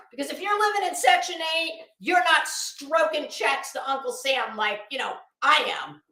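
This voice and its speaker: A woman's voice: high-pitched, sharp tone. A woman hosting a casual livestream, talking to her viewers in a blunt, sarcastic, scornful way and swearing freely, in a roomy, echoing recording. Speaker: livestream host.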